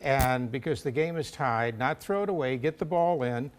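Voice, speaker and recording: A man's voice: medium pitch, moderate pace. A middle-aged or older man giving conversational live sports analysis on a panel.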